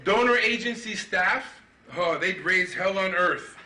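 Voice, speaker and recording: A middle-aged man giving a keynote at a conference, with a sarcastic, ironic delivery and animated mock-indignant questions. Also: sits high, measured pace, slight background noise. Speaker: keynote speaker. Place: conference hall. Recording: echoey hall, mic from far off.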